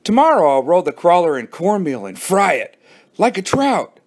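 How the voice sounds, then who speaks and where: A man as an eager, high-voiced coaxing boy. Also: sing-song, normal speed. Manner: insistent, coaxing. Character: eager, encouraging boy coaxing his friend. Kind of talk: children's audiobook character voice.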